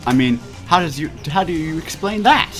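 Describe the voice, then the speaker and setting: A man's voice: fairly high pitch, animated, moderate pace, a bit bright. A young man playing a video game, reading its text aloud with stumbles and joking asides. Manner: stumbling read-aloud delivery, often breaking into laughter. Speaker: let's player reading a visual novel aloud. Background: video game music.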